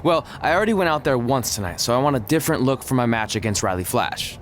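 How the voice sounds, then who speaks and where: A male actor plays a young, eager rookie wrestler in a video game. His delivery is glib and casual, with very lively intonation, over faint background noise. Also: mid-range voice, quick pace, natural voice. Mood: eager, defensive. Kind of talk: video game cutscene acting.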